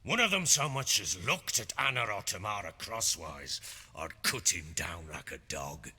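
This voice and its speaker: An actor playing a blustering middle-aged warlord baron in a video game, with a gruff, husky voice and a Northern English accent. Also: male voice, deep voice, animated, moderate pace, pushed and bright, heavily acted. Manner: blustering, emphatic. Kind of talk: video game character acting. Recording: hall reverb, music underneath.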